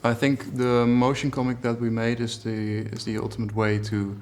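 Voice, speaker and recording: A man in an interview, reflecting on his creative work as an illustrator in a measured, explanatory way. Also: mid-range voice, little pitch movement, a little mellow. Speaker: illustrator and storyteller. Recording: music behind the voice.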